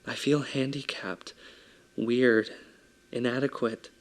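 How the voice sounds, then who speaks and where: Male performer, mid-range pitch, soft feminine-leaning voice; introspective, hushed confessional monologue. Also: fairly even intonation, takes it slowly.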